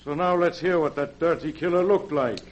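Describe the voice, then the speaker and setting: An actor playing a suspicious, tough bartender with a streetwise way of talking, in a 1940s radio drama. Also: male voice, fairly high pitch, fairly quick, close to an everyday voice. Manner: streetwise, says "see?". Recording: dull old radio recording.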